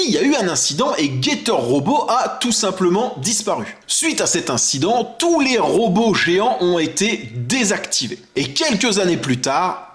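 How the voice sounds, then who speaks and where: A man's voice: sits high, a bit bright, reverberant. A man hosting a casual video commentary, chatting directly to the viewer. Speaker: YouTube host.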